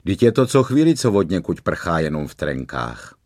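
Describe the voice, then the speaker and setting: A man's voice: low voice, big pitch swings, a little mellow, no echo, studio quality. A man voicing a melancholic teenage boy in an audiobook, musing in a resigned tone.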